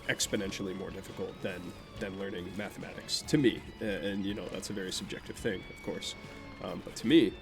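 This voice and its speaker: Young guy with a nasally voice, kinda gravelly and low, just rambling while he plays, faint game music in the back. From Vermont, USA. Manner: rambling, self-deprecating, offhand. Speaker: gameplay commentator. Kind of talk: casual gameplay commentary.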